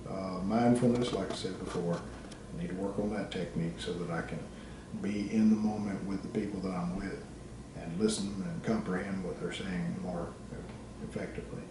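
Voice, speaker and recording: A middle-aged man giving a personal, reflective presentation as a student, speaking haltingly in short phrases with pauses. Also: deep voice, steady tone. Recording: very echoey, distant microphone, background noise.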